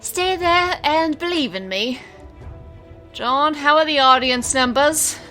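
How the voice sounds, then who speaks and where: A woman's voice: sits high, slow pace, faint music behind, full character voice. A woman playing a showy, defiant young magician in an audio drama, with theatrical delivery and fierce protectiveness. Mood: defiant, urgent. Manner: theatrical showman delivery, swinging to hushed narration. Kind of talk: audio drama acting with first-person narration.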